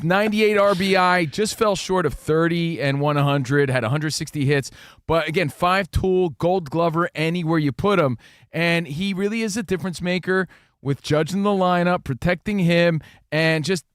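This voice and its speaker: A man co-hosting a sports radio show, talking in an energetic, jokey way about baseball and pop culture. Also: high voice, no room sound, clean studio sound. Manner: energetic, hyped, jokey rhymes. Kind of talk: casual sports talk show banter.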